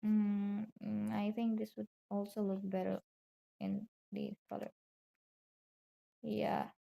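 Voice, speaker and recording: Raspy, sleepy-voiced woman drawing on stream. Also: medium pitch, little pitch movement. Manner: soft, unhurried commentary on her own drawing. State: just woke up from a nap. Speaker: digital art streamer. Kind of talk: casual narration while drawing.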